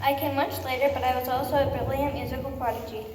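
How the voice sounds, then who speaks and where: A woman's voice: sits high, level tone, fast, natural voice. A young girl of about eleven playing a proud, eager composer in a scripted school play. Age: child, about 11 or 12. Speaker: student performer in readers theater. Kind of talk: scripted stage recitation. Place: school performance in a large echoey room. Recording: echoey hall, far mic, clearly noisy.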